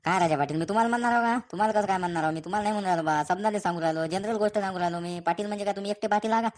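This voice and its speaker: Lady doing a fed up village farmer guy, super fast grumbling, clean smooth voice, rural vidarbha marathi, cartoon. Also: mid-range voice, darkish tone, lightly acted. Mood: defensive, exasperated. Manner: grumbling, repetitive, colloquial. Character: exasperated male village farmer, grumbling about stray cattle. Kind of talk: Marathi cartoon comedy dialogue.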